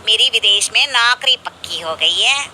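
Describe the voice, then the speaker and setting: Performer as a snooty, boastful man, sharp-voiced. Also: medium pitch, animated, normal speed, slight background noise. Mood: smug, dismissive. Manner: dismissive, superior.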